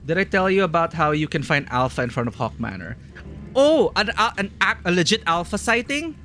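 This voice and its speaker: A man's voice: high-pitched, sing-song, fairly quick, bright, forceful tone, soft background music. A man casually chatting while streaming a video game, laughing often and sometimes putting on mocking villain voices. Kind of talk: casual live-stream commentary.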